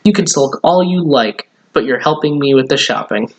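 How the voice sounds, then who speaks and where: A man's voice: medium pitch, expressive, normal speed, muffled recording, lightly acted. A man voicing a firm, sensible, exasperated mother who scolds matter-of-factly in a storybook reading. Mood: scolding.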